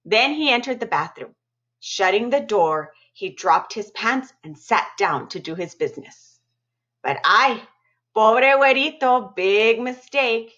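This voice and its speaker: A woman's voice: a little high, pushed and bright. Dull-sounding recording of a woman reading aloud. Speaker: middle school teacher reading aloud to students. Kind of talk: expressive read-aloud storytelling with casual asides to students. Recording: dull, muffled lo-fi audio.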